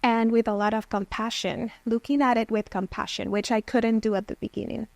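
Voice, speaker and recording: A woman from Venezuela in her thirties speaks as a guest, recounting her own story with reflective pauses and fillers. Her voice sits fairly high. The recording is close and dry. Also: steady tone, creaky, a little mellow, no room sound. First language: Spanish. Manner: thoughtful, frequent "um" and "you know". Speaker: therapist guest.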